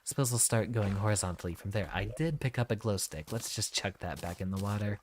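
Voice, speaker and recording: A man livestreaming in a practiced professor persona, speaking from the back of the throat with slight rasp, a soothing feminine lilt, formal wording and sentences that fall at the end. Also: on the low side, brisk, slight background noise. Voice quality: slight fry and raspy gravel on vowels; voice placed at back of throat, raised above natural register. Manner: sentences swoop up and down, dropping at the end. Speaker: game streamer.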